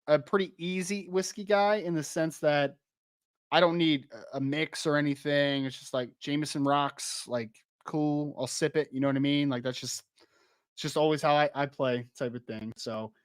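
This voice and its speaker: A man's voice: on the high side, steady tone, normal speed, clean tone, dry recording, clean studio sound. A young man hosting a podcast in casual chat, rambling and laughing easily, with a slightly worn voice. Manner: chatty, rambling, laughs easily, many restarts and "like". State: losing his voice a little. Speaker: podcast host. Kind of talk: casual live-stream podcast chat with chat readers.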